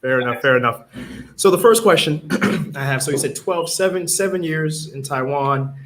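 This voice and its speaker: A man hosting a casual online interview, easygoing and dropping quick short acknowledgments. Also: on the high side, fairly bright tone. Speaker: host.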